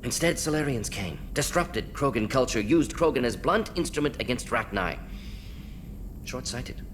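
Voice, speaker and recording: A man acts as a brisk, brilliant alien scientist in a video game. He drops small words, firing off earnest, clipped fragments and lists in a mid-pitched voice. A faint rumble of noise sits behind him. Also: expressive, close to an everyday voice. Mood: critical, intense. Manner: clipped telegraphic phrasing, rapid-fire listing, earnest.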